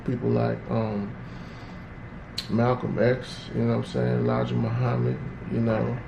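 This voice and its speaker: A man's voice: mid-range voice, fairly even intonation, measured pace, vocal fry, dull audio. A man in a casual podcast chat, talking in a laid-back way and often saying "you know what I'm saying". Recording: echoey room with loud background noise.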